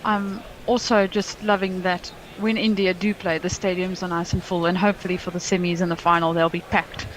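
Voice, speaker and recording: A woman's voice: lowish voice, flat delivery, fairly quick, few pauses, slight background noise, poor audio. Chatty woman cricket co-commentator. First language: English; Afrikaans is her second language. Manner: chatty, easygoing analysis. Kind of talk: live sports commentary chat.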